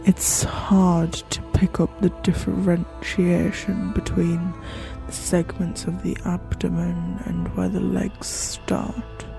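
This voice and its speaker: A horror fiction narrator speaking in a measured, musing, slightly formal and theatrical way, addressing the listener directly. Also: medium pitch, little pitch movement, creaky. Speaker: narrator and host of an audio horror fiction show. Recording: music behind the voice. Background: background music.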